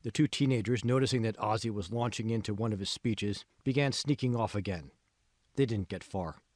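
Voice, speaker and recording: A man's voice: fairly low pitch, brisk, a little mellow, dry recording, clean studio sound. A man reading scripted fiction as the narrator of an audiobook. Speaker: author reading own audiobook.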